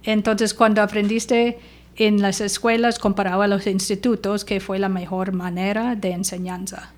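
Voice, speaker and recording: A woman's voice: mid-range voice, flat delivery, normal speed. A woman hosting a podcast who interviews her guest with short, encouraging prompts and questions. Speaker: podcast host.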